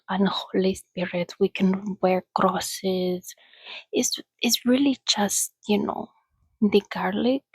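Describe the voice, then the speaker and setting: A woman voicing a soothing, centuries-old, well-mannered vampire in a soft-spoken ASMR roleplay, chatting gently and often dropping to a whisper. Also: mid-range voice, vocal fry, husky, dry recording, heavily acted, low-quality recording. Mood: gently reassuring. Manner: unhurried, gentle, chatty, reassuring.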